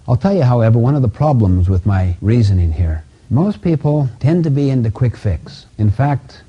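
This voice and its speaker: A middle-aged man giving a motivational self-help lecture in a didactic, emphatic way with deliberate phrasing. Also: medium pitch, warm tone, slightly rough. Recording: dull lo-fi audio.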